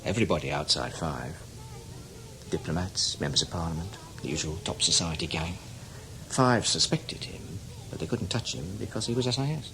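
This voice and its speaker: An actor playing a seasoned, cynical older British spy in a radio drama, talking in a wry, knowing, emphatic way. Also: male voice, low-pitched, animated, moderate pace, bright, forceful tone, a little hiss or hum, full character voice, rough recording. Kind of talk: radio drama acting, conversational.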